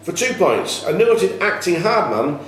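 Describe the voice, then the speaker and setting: A man working as quizmaster, reading questions to contestants in a measured way with informal asides. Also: high voice, big pitch swings, fairly bright tone. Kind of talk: reading pub-style quiz questions to contestants. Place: echoey room at a cricket club. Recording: very echoey room, faint noise.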